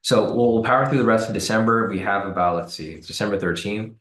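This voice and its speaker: A man hosting a live trading stream, hoarse and raspy from the cold, talking casually with many fillers and repeated words. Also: fairly low pitch, fast talker, a bit bright, reverberant. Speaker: trading livestream host. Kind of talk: casual live-stream commentary answering chat.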